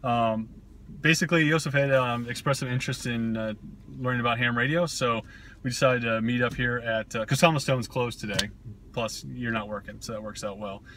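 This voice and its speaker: A man's voice: mid-range voice, fairly even intonation, a little fast, a bit bright, a little hiss or hum. A man in his thirties hosting an amateur radio video, chatting and joking with a friend inside a parked car. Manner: joking, laughs often. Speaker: amateur radio operator and channel host. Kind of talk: casual chat. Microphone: camera on the dashboard.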